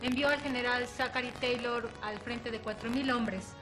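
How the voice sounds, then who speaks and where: A woman co-hosting an educational interview, asking clear, informative questions. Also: fairly high pitch, fairly even intonation, normal speed, low-quality recording. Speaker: co-host. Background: music underneath.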